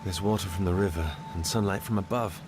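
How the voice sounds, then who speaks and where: An actor playing a weary, guilt-laden but resolute and loyal man in a fantasy game, speaking in a measured, earnest way. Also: low voice, background noise, close to an everyday voice. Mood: calm, urgent. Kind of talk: dramatic fantasy game voice acting. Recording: music behind the voice.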